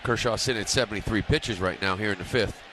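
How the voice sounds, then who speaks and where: Male baseball commentator with clear ballpark noise. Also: fairly low pitch, quick pace, fairly bright tone. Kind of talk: live radio baseball commentary. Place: stadium broadcast booth. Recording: clear stadium noise behind. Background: stadium ambience.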